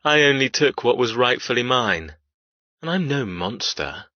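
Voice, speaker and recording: A man's voice: medium pitch, very animated, measured pace, dry recording, lo-fi sound. An actor playing a cold, cruel male captor who taunts with clipped, controlled, sneering menace in audiobook dialogue. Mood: cold, threatening.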